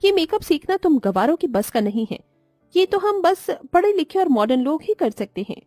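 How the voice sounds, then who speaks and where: A woman voicing a haughty, quick-tempered young city daughter-in-law in a cartoon, scornful and mixing in English. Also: high voice, big pitch swings, no room sound, faint music behind. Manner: scornful, sharp-tongued, mixes in English. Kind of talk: cartoon moral story voice acting.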